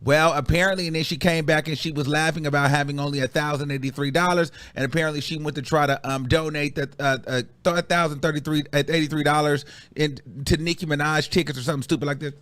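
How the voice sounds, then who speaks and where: A man's voice: a little high, fairly even intonation, fast, fairly bright tone, no echo. A man hosting a live-streamed celebrity gossip show, sassy and chatty, calling his viewers girl and child and rambling with lots of ums and restarts.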